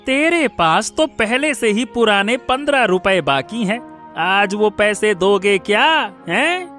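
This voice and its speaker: A man's voice: high-pitched, expressive, slow pace, sharp tone, faint music behind, full character voice. A man playing a rude, greedy village shopkeeper in a cartoon moral story, curt and scolding. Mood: scornful, impatient.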